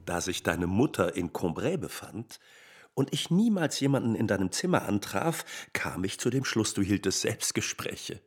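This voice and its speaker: Articulate, lower-voiced male friend. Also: big pitch swings, normal speed, husky. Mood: matter-of-fact. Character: male friend of the narrator, frankly explaining his deductions. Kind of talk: literary radio drama acting.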